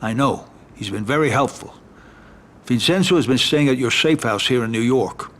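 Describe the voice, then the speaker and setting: Actor as composed mid-pitched mafia adviser. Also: male voice, normal speed, fairly bright tone, slight background noise, close to an everyday voice. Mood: businesslike. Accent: American. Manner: matter-of-fact, composed. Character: businesslike mafia family adviser giving instructions. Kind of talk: video game cutscene acting.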